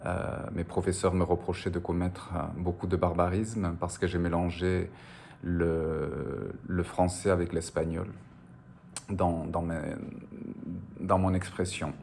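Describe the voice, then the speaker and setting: A man with a very low, gravelly voice that barely moves in pitch, speaking slowly and hesitantly with many fillers. He grew up on the French Basque border with Spanish as his first language. A faint hiss sits under the recording. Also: flat delivery, darkish tone. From French Basque Country, on the border. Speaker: novelist being interviewed. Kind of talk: reflective interview answers.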